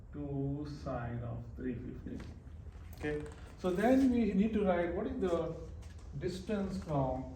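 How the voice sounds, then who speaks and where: A man's voice: a little high, a bit bright. A man teaching math informally, working through a problem step by step as he writes and often repeating his phrases. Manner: explains step by step while writing, repeating phrases. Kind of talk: informal worked-example math lesson. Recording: roomy echo, distant mic, faint noise.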